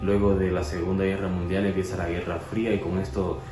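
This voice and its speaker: A man's voice: low-pitched, flat delivery, brisk. A man explaining history as co-host in a casual educational chat, with many fillers and restarts. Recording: echoey room with music.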